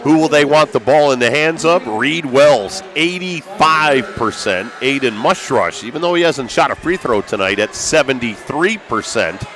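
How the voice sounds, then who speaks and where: An American man doing live basketball play-by-play as a broadcaster, excitable and raising his voice with the action. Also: medium pitch, moderate pace, few pauses. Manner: excitable, calls out action with raised voice. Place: courtside in high school gymnasium. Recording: live gym broadcast with background noise.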